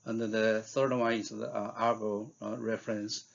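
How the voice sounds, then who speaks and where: A man giving a scientific conference talk in a halting way, with frequent hesitations and fillers. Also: on the low side, little pitch movement, vocal fry, dull audio. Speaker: researcher giving invited talk.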